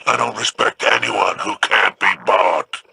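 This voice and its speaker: Gravelly alien crime merchant. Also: male voice, on the low side, fairly even intonation, a little slow, sharp tone, dry recording, heavily acted. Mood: scheming. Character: greedy scheming male alien merchant boss.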